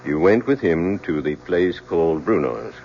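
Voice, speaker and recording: Stern male coroner, low and deliberate, official phrasing, muffled vintage broadcast sound. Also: expressive, darkish tone. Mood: probing. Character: stern, formal male coroner presiding over an inquest. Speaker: actor in a radio drama. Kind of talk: old-time radio drama acting. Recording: muffled old radio recording, faint noise.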